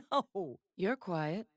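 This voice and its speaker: A woman's voice: medium pitch, dry recording, exaggerated voice. A battle-worn young gunslinger heroine from a video game. She is dry, clipped and commanding, with tenderness under the defiance. Her voice sits in a middle register with a tight, dry studio sound. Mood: wry teasing. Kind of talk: video game character voice lines.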